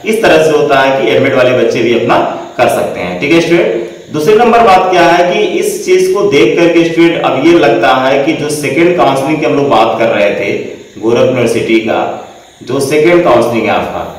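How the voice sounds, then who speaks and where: A man's voice: medium pitch, lively intonation, brisk, recorded from a distance. A young man hosting a screen-recorded tutorial, talking the viewers through a website step by step and using the same filler phrases over and over. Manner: repetitive filler phrases, addresses viewers as students. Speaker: education channel host. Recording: echoey room, voice off-mic.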